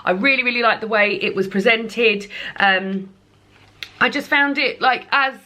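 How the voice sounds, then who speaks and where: A woman's voice: a little high, a little slow, bright, forceful tone. A British woman giving casual, rambling book reviews to viewers, repeating words for emphasis.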